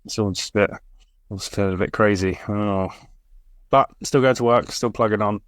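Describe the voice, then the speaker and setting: Congested man, low voice. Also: fairly quick, no echo. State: congested, stuffy-nosed. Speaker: podcast co-host. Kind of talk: casual chat.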